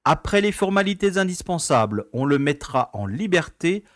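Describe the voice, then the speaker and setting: An actor playing a fussy, affable prison director in an audio drama, speaking formally with courteous condescension. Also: male voice, fairly high pitch, very animated, moderate pace, no echo, studio quality. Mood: excited. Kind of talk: French audio drama acting.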